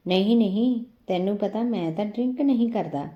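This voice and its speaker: A woman's voice: medium pitch, normal speed, muffled recording, natural voice. A woman voicing an affectionate, pleading young husband in an audio story. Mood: defensive.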